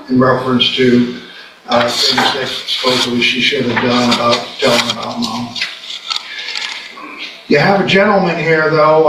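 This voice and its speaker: An older man argues a case in a rambling, repetitive, halting way. His pitch barely moves. He is picked up from a distance in a very echoey courtroom with clear background noise. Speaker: defense attorney. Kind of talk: courtroom closing argument.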